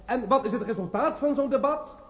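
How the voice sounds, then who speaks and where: Declamatory politician, old radio play: long-winded, high strained pitch, big echoing hall, slightly dull sound. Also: male voice, animated, a little fast. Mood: formal, defensive. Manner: declamatory, formal. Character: exasperated, long-winded male government politician addressing parliament.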